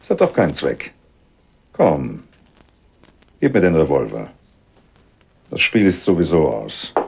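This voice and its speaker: An actor playing a wry, cool undercover police detective in a radio crime drama, ironic, teasing and matter-of-fact. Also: male voice, very deep voice, huge pitch swings, unhurried, vocal fry, heavily acted. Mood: firm. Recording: dull, muffled radio play recording.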